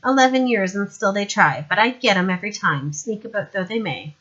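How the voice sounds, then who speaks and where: A woman's voice: mid-range voice, lively intonation, fairly quick, a bit bright, lo-fi sound. A woman voicing a paranoid, boastful and unpleasant male ruler in an audiobook story. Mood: suspicious, boastful.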